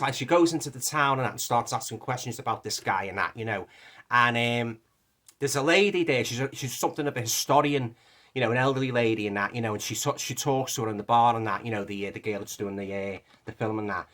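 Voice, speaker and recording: A man's voice: medium pitch, quick pace, fairly bright tone. A man with a Liverpool accent, throaty from a lingering cough, casually reviewing a movie with lots of fillers and restarts. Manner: rambling, many fillers and restarts. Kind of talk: casual film review vlog.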